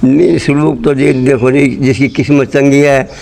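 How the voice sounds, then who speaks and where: A man's voice: mid-range voice, fairly even intonation, a little slow, a little mellow. A very old man with a Haryanvi accent, telling memories in a blunt, earthy, rambling way in an interview. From Rohtak, Haryana, pre-partition India. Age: 80s or older. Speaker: interviewee, partition migrant. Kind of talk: spoken reminiscence in interview. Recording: clearly noisy location sound.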